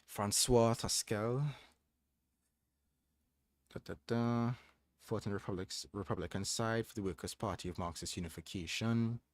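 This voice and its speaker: Low-voiced man musing on a livestream. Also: fairly even intonation, moderate pace, long pauses. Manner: thoughtful, hesitant, many restarts and ums. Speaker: livestream host. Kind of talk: casual livestream chat and reading aloud.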